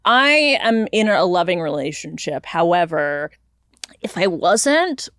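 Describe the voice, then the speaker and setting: A woman's voice: fairly low pitch, big pitch swings, takes it slowly, a bit bright, no echo, clean studio sound. A woman co-hosting a podcast in casual chat, thinking aloud in a playful way. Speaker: podcast co-host. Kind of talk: casual podcast chat answering listener voicemails.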